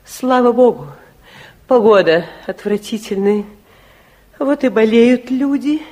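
Casting: genteel matron, high voice, gushing yet spiteful, stagey vintage radio drama. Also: female voice, takes it slowly, warm tone. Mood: sweetly polite. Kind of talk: 1960s Soviet radio play acting.

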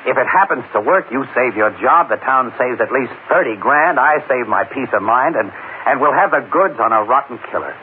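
A man's voice: medium pitch, expressive, brisk, slight background noise, lightly acted. Man playing a slangy hard-boiled newsman, old radio. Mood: urgent persuasion. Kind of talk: 1940s radio drama acting. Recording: old lo-fi radio broadcast, organ music.

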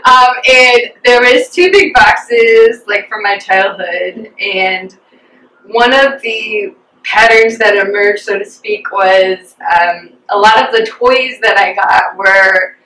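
Young American woman, interview guest, bright and sharp voice, loose and reflective with lots of ums, heard in a roomy space from a distance. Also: medium pitch, takes it slowly. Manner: chatty and reflective, with frequent fillers. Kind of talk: casual personal storytelling. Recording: roomy echo, distant from the mic.

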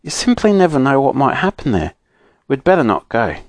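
Man voicing an earnest, high-pitched young boy. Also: huge pitch swings, a little fast, no room sound. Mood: earnest, cautious. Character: earnest, cautious young boy in a children's storybook.

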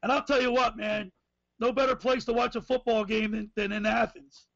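A man hosting a sports talk radio show, with a raspy voice and a folksy, rambling way of asking questions. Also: very high-pitched, flat delivery, moderate pace, a bit bright, dry recording, highs cut off.

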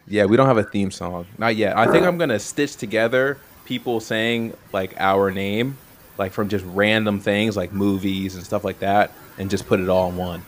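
A man's voice: on the low side, normal speed, slight background noise. A man hosting a podcast in casual chat, talking in a rambling, self-deprecating way. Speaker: podcast host.

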